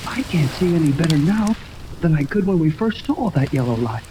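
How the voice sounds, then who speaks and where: Casting: boyish, earnest young sidekick, very animated pitch, vintage radio adventure, noisy recording. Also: male voice, moderate pace. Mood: earnest, amazed. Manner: earnest, exclamatory, folksy slang. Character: eager, excitable boyish young male crewman. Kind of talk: 1930s radio serial acting. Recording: noisy old radio, rain ambience over it.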